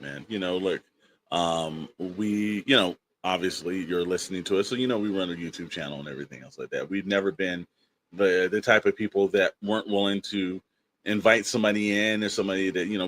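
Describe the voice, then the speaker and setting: A man's voice: sits low, fast, fairly bright tone, slight background noise. Rambling man chatting on a podcast. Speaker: podcast co-host. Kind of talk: casual podcast chat.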